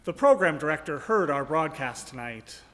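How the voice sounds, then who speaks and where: A man's voice: fairly high pitch, very animated, moderate pace, fairly bright tone. A man acting a wry, eager fellow in a live comic radio play. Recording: echoey hall, long reverb.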